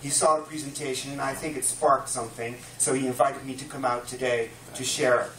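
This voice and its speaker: A man's voice: mid-range voice, flat delivery, a little fast, pushed and bright. A man in his fifties with a Dutch accent gives an earnest talk to a small audience, explaining things carefully with many fillers. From Netherlands. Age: 50s. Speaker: guest speaker. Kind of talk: public lecture. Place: small dim room with a projection screen, live audience. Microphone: distant camera mic. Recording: roomy, distant camera mic, faint room noise.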